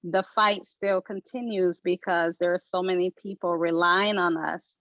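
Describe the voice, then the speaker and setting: A woman's voice: lowish voice, steady tone, normal speed, a bit bright, no echo, highs cut off. A woman from Liberia speaking personally and conversationally as a patient advocate on a panel.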